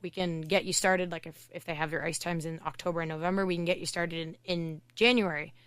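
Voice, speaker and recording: A woman hockey coach from Canada, a podcast guest, talking in a loud, chatty way with lots of "like" fillers. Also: on the low side, steady tone, clean tone, no echo. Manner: chatty, fast storytelling with many "like" fillers. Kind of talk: casual podcast interview.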